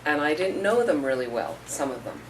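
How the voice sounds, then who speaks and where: Low-voiced woman teaching from a distance. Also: sing-song, brisk. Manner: conversational, storytelling with acted-out quotes. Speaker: Buddhist teacher. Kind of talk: informal dharma talk. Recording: distant mic with faint room noise.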